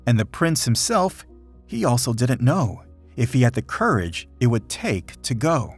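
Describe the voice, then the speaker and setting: Husky, animated man reading a storybook. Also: medium pitch, a little slow, faint music behind. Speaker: storybook reader. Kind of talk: children's picture book read-aloud, rhyming verse.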